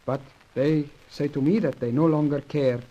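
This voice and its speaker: An actor playing a humble, deferential young foreign informant in an old radio serial, formal and apologetic. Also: male voice, fairly high pitch, fairly even intonation, moderate pace, dark tone, highs cut off, lightly acted. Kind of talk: old-time radio adventure serial acting.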